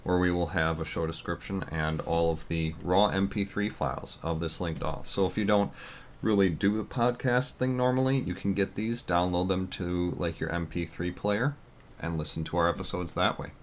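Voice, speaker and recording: Loud deep-voiced man rambling on a podcast. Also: normal speed, slight background noise. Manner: loud, rambling with many fillers. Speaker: podcast co-host. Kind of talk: casual podcast chat.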